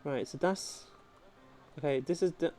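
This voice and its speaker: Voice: medium pitch, a little slow, warm tone, soft background music. A game player giving casual playthrough commentary, thinking aloud. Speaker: gaming channel host.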